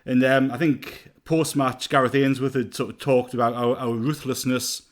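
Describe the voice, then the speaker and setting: A man's voice: mid-range voice, fairly even intonation, moderate pace. A man co-hosting a football podcast over a remote call, giving thoughtful, rambling analysis with plenty of fillers. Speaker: podcast co-host. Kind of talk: casual football podcast chat.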